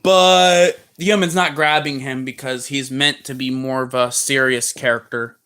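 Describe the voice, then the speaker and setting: A man's voice: mid-range voice, steady tone, normal speed, bright, forceful tone, clear, resonant tone. A man speaking as a plush toy character on a livestream, rambling through crude banter with frequent restarts. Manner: rambling, stumbles and restarts mid-sentence.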